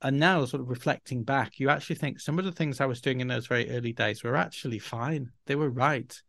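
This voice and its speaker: A man's voice: medium pitch, brisk, vocal fry, a bit bright, no room sound. A middle-aged man from Yorkshire with a Yorkshire accent, chatting as a podcast guest in a rambling, self-deprecating way. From Yorkshire, England. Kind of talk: casual interview talk.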